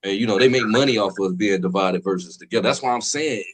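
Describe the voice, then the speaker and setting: A man's voice: fairly low pitch, fast, a little hiss or hum. Rambling, slangy man in casual chat. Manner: rambling, slangy, fills with "you know what I'm saying". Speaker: podcast co-host.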